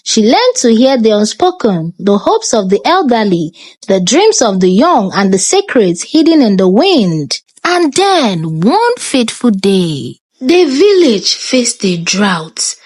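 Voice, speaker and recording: A woman with a Nigerian accent narrating a scripted folktale in a dramatic, expressive manner. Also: medium pitch, husky, dry recording. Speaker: storyteller.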